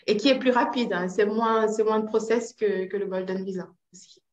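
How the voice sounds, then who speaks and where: A woman's voice: medium pitch, fairly even intonation, normal speed, a little hiss or hum. Cameroonian woman presenting on a video call. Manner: hesitant, many fillers and restarts. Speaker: real estate agent presenting a webinar. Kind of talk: online presentation. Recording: roomy, dull video-call audio.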